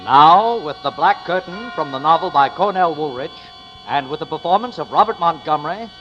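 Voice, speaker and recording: Dramatic high-ish radio announcer, man. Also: expressive, normal speed. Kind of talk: formal program announcement. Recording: dull old radio broadcast with music.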